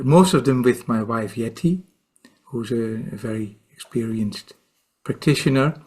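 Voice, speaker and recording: A man in his sixties with a Dutch accent, a meditation teacher answering as a podcast guest, speaking haltingly with many repeats and fillers. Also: medium pitch. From Netherlands. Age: 60s. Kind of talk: podcast interview.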